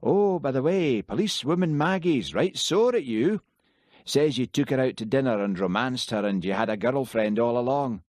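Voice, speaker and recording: A man's voice: mid-range voice, animated, moderate pace, darkish tone, no echo, clean studio sound, voice pushed up, lightly acted. A man reading an audiobook, voicing a grudging, heartless detective who makes sardonic remarks. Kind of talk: audiobook character voice.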